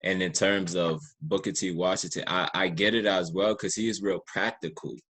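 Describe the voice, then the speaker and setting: Deep-voiced man, reflective and halting. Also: fairly even intonation, fairly bright tone, husky. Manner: reflective, halting, with drawn-out phrases. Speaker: podcast host. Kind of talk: casual conversational discussion.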